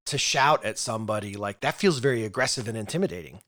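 A man hosting a podcast who steers the conversation and explains his ideas in a measured way. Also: fairly low pitch, fairly bright tone. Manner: leads the topic, measured explaining. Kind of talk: explanatory discussion.

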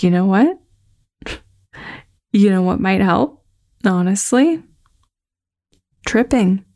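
A woman's voice: mid-range voice, gravelly, darkish tone, no room sound, clean studio sound, exaggerated voice. A woman playing a troubled, restless young woman in a found-footage audio drama, confiding hesitantly, often breathily. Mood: reckless, wistful. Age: young adult.

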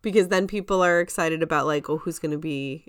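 Woman with a pretty deep voice, casual podcast co-host talking theatre, no room echo at all. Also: brisk, smooth, studio quality. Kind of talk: casual chat about musical theatre.

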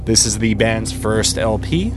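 A man's voice: on the low side, expressive, measured pace, background noise. A man co-hosting a podcast, talking casually and with informal slang as he reviews music. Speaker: podcast co-host.

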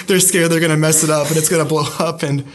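A man's voice: fairly high pitch, steady tone, quick pace. A young man, a university student, answering interview questions casually with many fillers and restarts. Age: young adult. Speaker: student guest.

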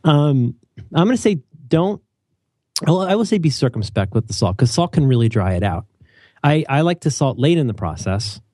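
Man, casual talk host, mellow dark voice, dry close sound, jokey and opinionated. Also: mid-range voice, normal speed, no echo. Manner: animated, opinionated and jokey, with many restarts. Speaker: podcast host. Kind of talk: casual chat.

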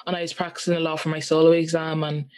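Young man, singer, rambling animated chat, slightly high voice. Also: fast talker. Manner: chatty, animated storytelling with many fillers. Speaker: singer and guest. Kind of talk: casual chat.

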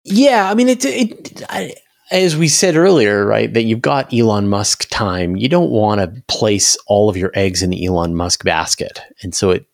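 Low-pitched man explaining in an interview. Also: lively intonation, normal speed, vocal fry, no echo, clean studio sound. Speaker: interview guest, space news commentator.

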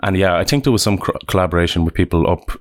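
A man's voice: sits low, racing, no echo, studio quality. An Irish man in his thirties, a developer guest chatting casually in an interview, rambling with restarts and self-deprecating asides. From Ireland.

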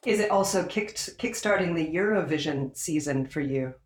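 A man's voice: on the high side, moderate pace. A man hosting a podcast, welcoming guests with upbeat introductions over a remote call mic. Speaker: podcast host. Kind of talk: casual podcast chat.

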